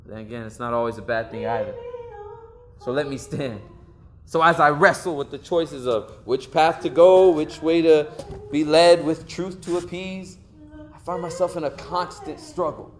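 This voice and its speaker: A man's voice: a little high, moderate pace, slight background noise, lightly acted. An actor playing a young man who earnestly reflects on his path in life, speaking a long stage monologue. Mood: reflective. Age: young adult. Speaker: lead actor in a stage play.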